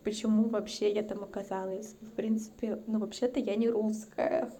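Gravelly, dark-toned woman. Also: mid-range voice, flat delivery, moderate pace. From North Caucasus, Russia. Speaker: podcast guest. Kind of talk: casual chat.

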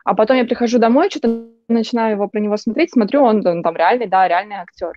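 A woman's voice: fairly high pitch, fast talker, over music. A young woman from Russia, a journalist guest, telling casual stories in a chatty way and often restarting her sentences. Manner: chatty, anecdotal, frequent restarts. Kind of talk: casual interview chat. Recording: dull lo-fi dry sound.

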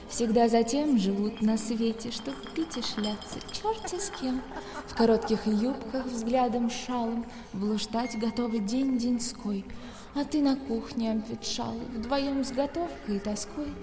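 An actress plays a bitter wife scolding in theatrical radio-drama verse. Her female voice is high and reproachful. It rings with long echoing reverb over clear music. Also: close to an everyday voice. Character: scolding, bitter wife berating a drunken husband. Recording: echoey hall reverb, music behind.

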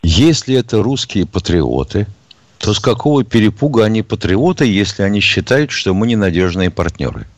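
A male co-host on a studio radio show speaks low, and his sound is dry and close. He is blunt and scolding, and he reaches for folksy idioms. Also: very animated, normal speed, no room sound. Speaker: radio co-host, retired colonel. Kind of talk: call-in radio talk.

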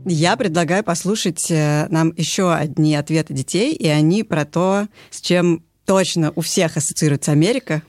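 Female host, low-pitched, chatty question-asker; dry recording. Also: normal speed, few pauses, darkish tone, no room sound, faint music behind. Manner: conversational, asks prompting questions. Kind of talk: casual chat.

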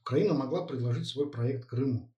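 Fast-talking man, set back in an echoey room. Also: mid-range voice, warm tone, muffled recording. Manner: fast, questioning, many filler words. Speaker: co-host. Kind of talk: casual political discussion. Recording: echoey, a bit far from the mic.

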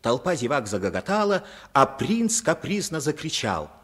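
A man narrating a radio play as a storyteller, in a dramatic and measured manner. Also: a little high, sing-song, fairly bright tone. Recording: vintage 1950s radio play recording.

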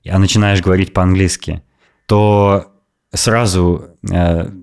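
A podcast host with a very deep voice tells a travel story over casual chat. He speaks with lively, opinionated conviction. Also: slow, smooth.

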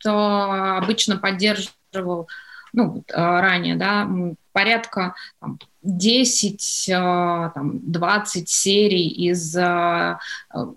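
A woman answering interview questions as an executive, explaining in a measured, businesslike way with hesitant pauses mid-phrase. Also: on the low side, fairly even intonation, fairly bright tone. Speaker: studio executive, interview guest.

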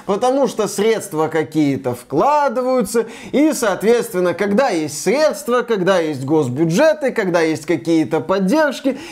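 Guy co-host, pretty high voice, wry joking casual commentary. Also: a little mellow. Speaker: gaming news podcast co-host.

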